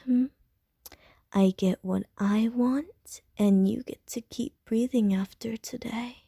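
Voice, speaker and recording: A woman voicing a flirtatious, predatory female vampire in an ASMR roleplay, speaking softly and teasingly and slipping into whispers. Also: fairly high pitch, no room sound, studio quality, full character voice. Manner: slow, soft, teasing, drops into whispers.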